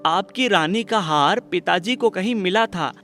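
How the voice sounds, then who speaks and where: A man's voice: sits high, a little slow, a bit bright, voice pushed up. A man voicing an honest, well-mannered village boy in a children's story, speaking politely and earnestly. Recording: music behind the voice.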